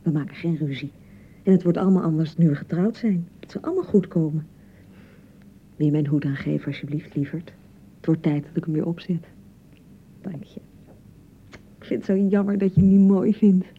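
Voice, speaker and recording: An actress with a Dutch accent playing a young, anxious newlywed bride in a radio drama, touchy and fretful, chattering and repeating herself. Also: female voice, lowish voice, fairly quick, pauses often, highs cut off, slight background noise, natural voice. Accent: Dutch (Netherlands). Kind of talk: naturalistic radio drama acting.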